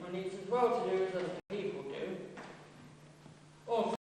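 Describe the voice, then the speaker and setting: A man playing a polite young Victorian London gentleman in a theatrical monologue, courteous, formal and measured in his storytelling. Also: high voice. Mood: genial, tactful. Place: large echoing indoor festival venue. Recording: very echoey hall, distant mic, faint noise.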